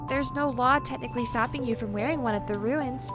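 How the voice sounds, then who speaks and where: A woman's voice: on the high side, fairly quick, over loud music, natural voice. A woman playing a nervous, bookish, overworked young researcher in an audio drama, stammering and using wordy, formal vocabulary. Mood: earnest, explanatory.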